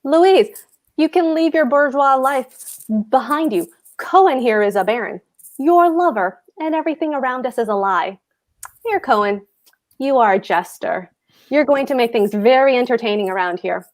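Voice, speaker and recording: A woman as a pompous, high-pitched husband. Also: lively intonation, normal speed. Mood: mocking, grandiose. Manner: pompous, emphatic, precise. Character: pompous, pedantic, blustering husband, a petty official. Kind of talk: stage comedy table read.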